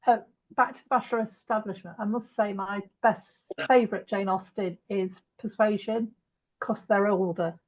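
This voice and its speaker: A woman's voice: medium pitch, fairly even intonation, normal speed, low-quality recording. A middle-aged woman chatting casually as a reader on a book club video call. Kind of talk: casual chat.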